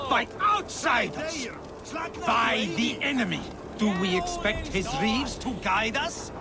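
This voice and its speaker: A man playing a grieving, rabble-rousing orator in a video game, declaiming to townsfolk with dramatic pauses. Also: extremely high pitch, exaggerated voice. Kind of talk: public speech in a video game.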